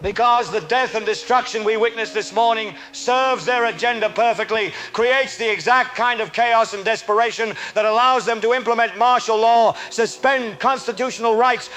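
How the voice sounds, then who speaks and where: A man's voice: high voice, a bit bright. A man playing a fervent, paranoid conspiracy broadcaster in an apocalyptic radio drama, urgent and accusatory.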